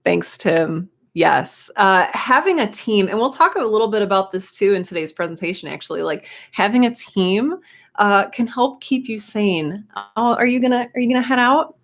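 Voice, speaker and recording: A woman's voice: medium pitch, lively intonation, normal speed. Chatty woman hosting a casual live stream. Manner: chatty, informal, encouraging. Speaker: live stream host and writing teacher. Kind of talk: casual live stream chat, greeting viewers and reading comments.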